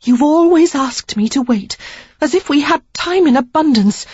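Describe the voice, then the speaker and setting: A woman's voice: high voice, expressive, moderate pace, darkish tone, no echo, highs cut off, voice pushed up. A woman reader voicing an upset young woman who pleads and insists, close to tears. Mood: pleading, resolute. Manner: pleading, emphatic, near tears. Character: young woman, frustrated and resolute suitor.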